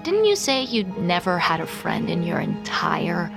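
An unhurried, dry-voiced vampire woman, centuries old, in an audio drama. She is curt, aloof and exasperated. Music runs clearly beneath her lines. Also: on the low side, big pitch swings, heavily acted. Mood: irritated, exasperated. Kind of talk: scripted audio drama acting. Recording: music underneath voice.

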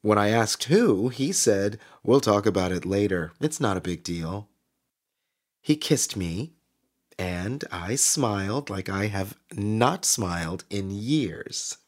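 An actor playing a relentlessly cheerful, eerie small-town radio host in a scripted monologue, theatrical and drawn-out, with a smile in his delivery. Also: on the low side, expressive. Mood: fond, joyful. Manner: theatrical, smiling, deliberately drawn-out. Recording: music behind the voice.

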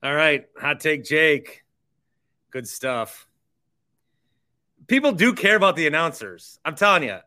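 Bright-voiced man hosting a sports podcast. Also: a little high. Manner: conversational, opinionated, repeats phrases for emphasis. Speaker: podcast host. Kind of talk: sports podcast talk with sponsor reads.

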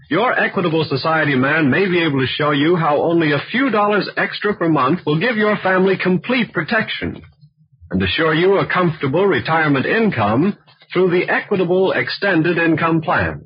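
A man announcing and pitching insurance in scripted 1940s radio advertising style, emphatic and persuasive. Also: breathy edge. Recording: muffled vintage radio recording.

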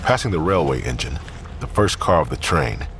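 A man narrating a crime drama in a grave, measured tone. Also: very deep voice, animated, fairly bright tone, a little hiss or hum. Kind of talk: scripted narration.

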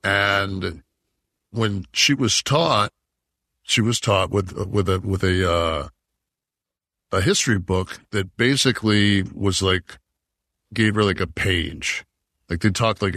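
A man's voice: deep voice, slow, fairly bright tone, no echo, clean studio sound. A man in his sixties from Detroit, co-hosting a podcast and talking in a heated, profane, rambling way. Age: 60s. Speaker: podcast co-host. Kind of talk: casual chat.

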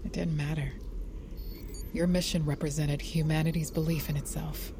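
An actress playing an earnest, idealistic technician in an audio drama. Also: female voice, low voice, little pitch movement, moderate pace, background noise. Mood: awed.

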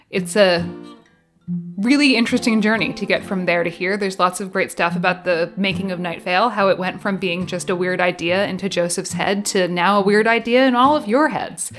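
A woman's voice: lowish voice, fairly bright tone. A woman chatting casually and promotionally on a podcast, with a playful, wry manner and guitar music behind her. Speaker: podcast co-presenter, live show MC and author. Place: home basement.

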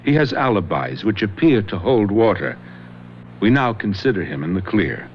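An actor playing a shrewd police lieutenant in a radio drama, calm, probing and authoritative. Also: male voice, lowish voice, normal speed. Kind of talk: 1970s radio drama acting. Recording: dull old radio broadcast recording, faint hiss.

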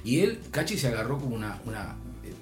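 An older man from Argentina with an Argentine Spanish accent, telling a long story in a relaxed chat, his voice rising and dropping as he goes. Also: mid-range voice, fast talker, faint music behind. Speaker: storyteller guest. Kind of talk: casual anecdote.

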